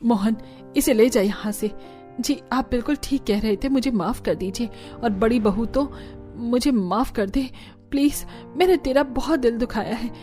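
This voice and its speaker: Woman acting a bossy Indian family matriarch, middle-aged, soft-edged dark tone, scolding, cartoon melodrama. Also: a little high, close to an everyday voice. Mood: remorseful, firm. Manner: scolding, commanding. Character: bossy, proud middle-aged mother-in-law.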